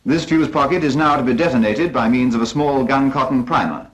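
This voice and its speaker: A man's voice: mid-range voice, fairly quick, lo-fi sound. A British man narrating a 1940s instructional film from a script in a formal, precise, instructive manner.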